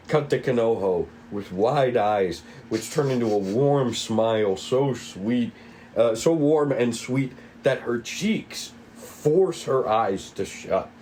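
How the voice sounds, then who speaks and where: Male table-read narrator, stage directions, unhurried pace, average pitch, slight background noise. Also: a little mellow, poor audio. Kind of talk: reading script stage directions aloud.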